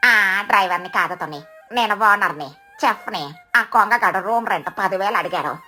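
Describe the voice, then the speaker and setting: Cartoon mother crow food seller, female performer: mid-pitched, sharp, boastful, brash and theatrical. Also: normal speed, faint music behind, natural voice. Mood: proud. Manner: dramatic, brash, scolding.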